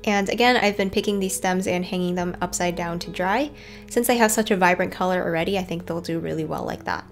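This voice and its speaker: A woman's voice: lowish voice, a little fast. A woman narrating a casual garden tour in a chatty, informal way. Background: background music.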